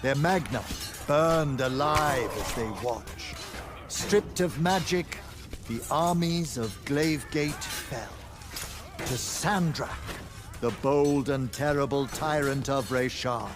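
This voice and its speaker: An actor playing a solemn, declamatory stage orator reciting an epic war history in a game. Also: male voice, medium pitch, takes it slowly, music in the background, natural voice. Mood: solemn, grandiose. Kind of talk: theatrical recitation.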